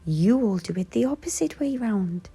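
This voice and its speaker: A woman voicing a friendly, gentle little female owl in a light, breathy storybook voice over music. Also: medium pitch, animated, moderate pace, voice pushed up, heavily acted. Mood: explaining.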